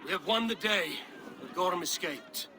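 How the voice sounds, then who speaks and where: An actor playing a fierce, vengeful Viking warrior loyal to the clan, in a dramatic game cutscene. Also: male voice, high voice, lively intonation, a little slow. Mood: grim, subdued.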